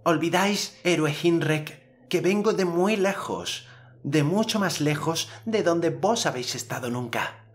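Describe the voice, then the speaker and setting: A man's voice: on the high side, lively intonation, a little slow, voice pushed up. An adult man voicing a young boy hero in an audiobook, speaking in a solemn, formal old-fashioned way. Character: earnest boy hero, commanding storyteller.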